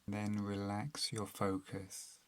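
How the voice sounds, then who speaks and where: A man narrating a guided meditation in a calm, soothing, unhurried manner, leaving long pauses between phrases. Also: fairly low pitch.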